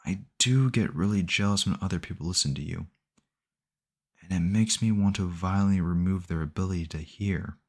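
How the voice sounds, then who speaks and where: Man acting a low-voiced, doting obsessive boyfriend. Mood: doting, possessive. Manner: doting, rambling, earnest self-justifying, close and conversational. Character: obsessive, possessive yandere boyfriend, sweetly cheerful kidnapper. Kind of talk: ASMR audio roleplay addressed to the listener.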